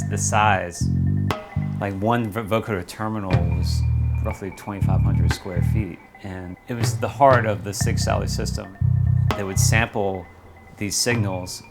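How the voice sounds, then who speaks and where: A man with a very low, creaky voice speaks as an interviewed historian. His delivery is measured and explanatory, in documentary style, with prominent plucked guitar music underneath. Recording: music behind the voice.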